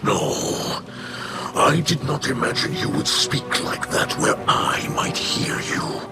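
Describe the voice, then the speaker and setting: A man playing a stern, honorable alien leader in a video game cutscene, speaking in a grave, formal and measured manner. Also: mid-range voice, animated. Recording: loud music over the voice.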